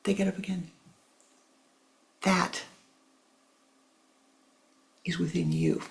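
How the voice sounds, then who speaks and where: A spiritual teacher giving a gentle, tender teaching, repeating phrases and calling listeners "my love", at times dropping to a near whisper. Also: mid-range voice, level tone, slow pace, vocal fry.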